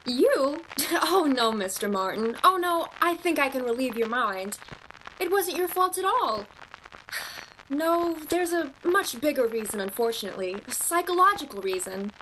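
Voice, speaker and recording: A woman acts out a young romantic shop clerk in a radio play, her voice high. She is earnest, proud and a touch haughty, with faint noise behind her. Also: sing-song, normal speed, a bit bright, rough recording. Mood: aggrieved.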